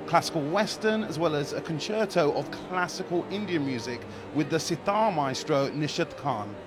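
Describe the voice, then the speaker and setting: A man co-hosting live radio from a concert hall, casual and relaxed. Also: a little high, normal speed, noisy background. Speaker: radio presenter. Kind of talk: live radio broadcast chat. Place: concert hall beside the orchestra. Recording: live broadcast with orchestra music behind.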